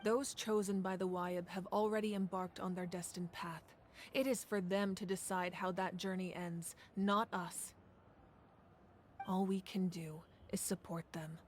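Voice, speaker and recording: An actress voicing a proud, protective female ruler in a video game, speaking calmly, steadily and with resolve. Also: on the low side, unhurried, slight background noise, natural voice. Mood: resolute calm.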